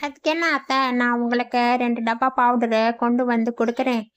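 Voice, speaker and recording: A woman's voice: on the high side, level tone, fairly quick, lo-fi sound, lightly acted. A woman voicing a fussy young city girl visiting a village in a cartoon. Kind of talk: cartoon voice acting.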